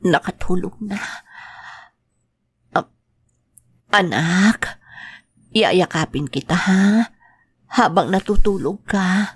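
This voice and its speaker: A woman playing a grieving mother working abroad, speaking with slow, heartfelt dramatic delivery. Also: fairly low pitch, breathy edge, dry recording. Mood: tender. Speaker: overseas domestic worker. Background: faint guitar music.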